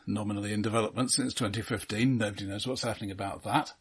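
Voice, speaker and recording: Guy on a film podcast, husky kinda low voice, matter of fact, relaxed chat style. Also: fast. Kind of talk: casual film discussion.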